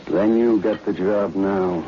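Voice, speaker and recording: A man playing a menacing, calculating party conspirator in 1940s radio style, deliberate and sinister, on a muffled old recording with clear hiss. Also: low voice, fairly even intonation. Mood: coldly menacing.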